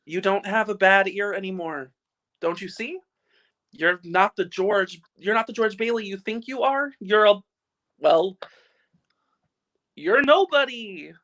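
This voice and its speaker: A man's voice: sits high, a little slow, pauses often, bright, forceful tone. Radio play angel: male actor as ancient but guileless heavenly helper, earnest and quaint. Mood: delighted. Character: innocent, earnest 293-year-old second-class guardian angel. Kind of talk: old-time radio play live reading.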